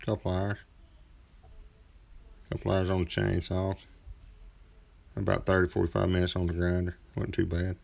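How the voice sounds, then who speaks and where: Gravelly deep-voiced laid-back man. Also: monotone, moderate pace, long pauses, darkish tone. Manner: laid-back, folksy, rambling with fillers. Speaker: chainsaw carver. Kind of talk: casual workshop narration. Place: outdoor driveway workspace.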